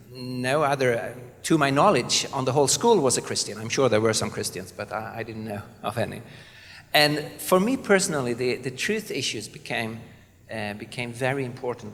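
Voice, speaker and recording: A man from southern Sweden with a Swedish accent, introducing himself on a panel in an earnest, halting way with many fillers. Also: medium pitch, a bit bright. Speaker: panelist, apologetics speaker. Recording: reverberant hall.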